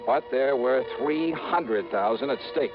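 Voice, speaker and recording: A man's voice: medium pitch, measured pace, natural voice. Hardboiled 1950s radio detective, played by a man. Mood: wry narration. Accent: American. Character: hardboiled male freelance insurance investigator, brash, impatient, wry. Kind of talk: 1950s radio drama acting and first-person narration. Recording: dull vintage radio recording with music.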